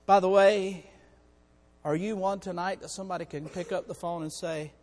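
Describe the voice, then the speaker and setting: A hoarse man on church staff preaching a sermon to his congregation, in a folksy way that calls out to them. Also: high voice, steady tone, normal speed, darkish tone, long reverb tail. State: hoarse, has lost part of his voice. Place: church sanctuary.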